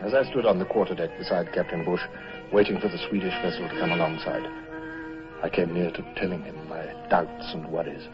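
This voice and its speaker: An actor playing a brisk, brooding British naval commodore in an old-time radio serial, speaking in a clipped, commanding way. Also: male voice, sits low, fairly even intonation, close to an everyday voice. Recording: muffled old radio recording, faint music.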